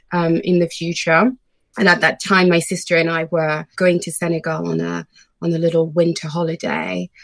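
A woman's voice: lowish voice, dry recording. A woman in her thirties who grew up in France, a guest in a casual interview, telling her story with frequent ums. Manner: friendly, storytelling with many ums. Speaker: guest, brand founder.

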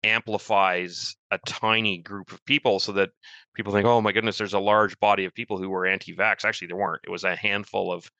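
Deep, gravelly Canadian man, fast talker. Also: little pitch movement, bright, forceful tone, dry recording, dull audio. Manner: quick, wry and matter-of-fact. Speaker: podcast co-host. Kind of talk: conversational podcast discussion.

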